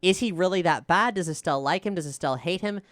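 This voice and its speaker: A woman chatting casually and candidly as a show creator, telling stories in an animated, self-analytical way. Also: lowish voice, fast talker, no room sound, clean studio sound. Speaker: show creator and writer answering questions. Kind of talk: casual reflective Q&A chat.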